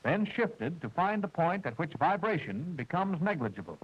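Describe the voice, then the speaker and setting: A man narrating a 1940s military training film, with a precise, measured and formal delivery, heard through an old film soundtrack. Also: a little high, big pitch swings, husky, muffled recording. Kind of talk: scripted instructional narration.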